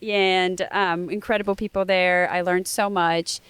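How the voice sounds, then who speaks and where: Eager young Brazilian-born woman, smooth voice. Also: lowish voice, level tone, unhurried, fairly bright tone, no echo. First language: Portuguese. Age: 20s. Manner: eager, upbeat, storytelling with frequent ums. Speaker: student podcast host. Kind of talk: casual interview chat.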